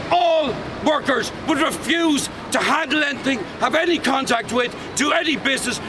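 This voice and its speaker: Older Irish man, walking tour guide, high voice, emphatic reading from notes, heavy street traffic around him. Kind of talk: outdoor walking tour talk. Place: busy city street outdoors. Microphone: far camera. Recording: loud traffic noise outdoors.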